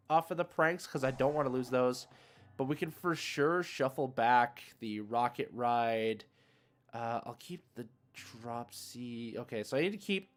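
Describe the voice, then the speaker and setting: A man's voice: mid-range voice, fairly bright tone. A man giving casual, chatty gameplay commentary, thinking aloud as he narrates each move. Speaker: video game streamer.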